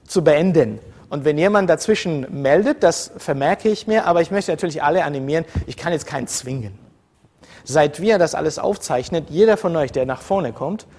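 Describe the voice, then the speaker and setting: A man from the former Soviet Union gives a relaxed university lecture in a slightly raised voice. He talks to his students in a conversational way, drifting between points with frequent fillers and self-corrections. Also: a little high.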